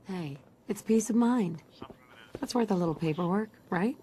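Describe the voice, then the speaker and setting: A woman playing an affectionate, teasing young wife and mother in a video game, casual and gently coaxing. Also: medium pitch, normal speed, natural voice. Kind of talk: naturalistic video game voice acting. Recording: game audio, faint background noise.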